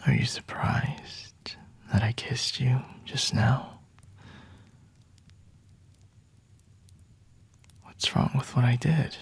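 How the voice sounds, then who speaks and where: A man playing a flirty, teasing, predatory vampire in an ASMR roleplay, with a breathy, husky whisper and intimate delivery. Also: medium pitch, takes it slowly, heavily acted.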